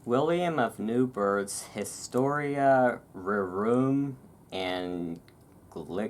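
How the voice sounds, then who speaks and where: A person reading aloud in a relaxed way with casual, rambling asides, halting and self-deprecating about it. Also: medium pitch. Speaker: reader and host. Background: thunderstorm ambience.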